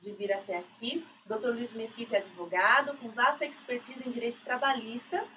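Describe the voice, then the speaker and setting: A woman chats casually on a radio show. Her voice is high and very bright, heard slightly off the mic. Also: steady tone, a little fast. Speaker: co-host of a women's radio talk show. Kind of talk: casual chatty radio hosting. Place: radio studio. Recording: distant mic, some background noise.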